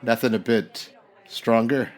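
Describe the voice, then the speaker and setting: A man's voice: mid-range voice, fairly even intonation, a little slow, close to an everyday voice. A man playing a wry, world-weary private detective in an old-time radio parody, with clipped, sardonic tough-guy delivery. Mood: awkward, dry. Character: hardboiled male private eye, wry, brusque, world-weary.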